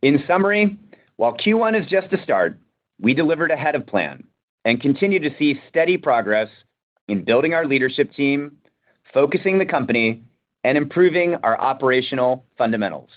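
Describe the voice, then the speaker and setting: Male executive for a scripted earnings call, formal and measured, mid-range voice, slightly muffled conference line. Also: medium pitch, lively intonation. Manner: formal, measured business delivery. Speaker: company executive. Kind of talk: scripted corporate earnings presentation.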